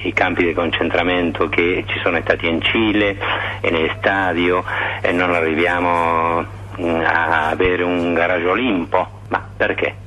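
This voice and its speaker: A man speaking spontaneously and with passion, halting at times and throwing out rhetorical questions. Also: low voice, little pitch movement, pushed and bright. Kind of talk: spontaneous impassioned political talk. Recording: noisy archive radio recording.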